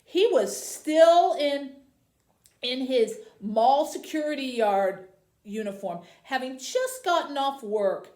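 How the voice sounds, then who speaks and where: A woman librarian reading a children's novel aloud and hosting for young viewers, with an expressive storytelling delivery. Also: high voice, slow. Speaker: librarian host. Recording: roomy, echoey indoor sound.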